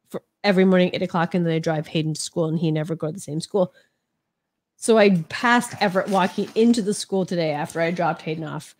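A sniffly middle-aged woman, chatting casually and teasingly as she co-hosts and reads out comments. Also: mid-range voice. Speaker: livestream co-host reading chat comments. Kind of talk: casual chat.

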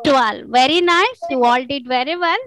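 A woman teaching an online abacus class, calling out sums in a brisk rhythm and praising each answer. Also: high voice, a bit bright. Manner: brisk, encouraging, repetitive praise. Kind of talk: calling out mental arithmetic drills.

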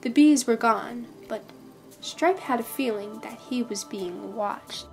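A woman with a fairly high voice narrates a children's storybook. Her phrasing is careful, expressive and unhurried, with music softly behind her. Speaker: volunteer storybook reader.

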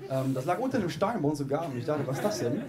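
A man's voice: on the high side, sing-song, a crowd in the background. A young man, a guest comedian, chatting on a small living-room stage before an audience with a handheld mic, wry and self-mocking. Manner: quick, wry and self-mocking, acts out quoted voices. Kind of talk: casual onstage chat.

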